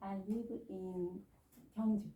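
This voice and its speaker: A woman with a Korean accent, an adult learner reciting a memorized English dialogue haltingly, with many fillers. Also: medium pitch, level tone, crowd noise. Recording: echoey room, faint noise.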